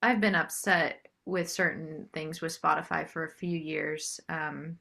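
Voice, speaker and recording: A woman's voice: deep voice, steady tone, measured pace, gravelly, bright, forceful tone. A woman speaking as a singer-songwriter guest in a casual interview chat.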